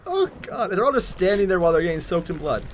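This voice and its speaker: A man's voice: sits high, very animated, quick pace. A young man hosting a reaction video at home, chatting casually with amused exclamations. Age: young adult. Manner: amused, exclamatory, laughs through words. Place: furnished room at home, on a couch.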